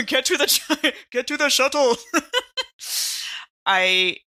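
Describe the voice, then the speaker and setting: A woman's voice: high voice, sing-song, very slow, pushed and bright. A woman playing a tabletop roleplaying game, bantering and reacting casually.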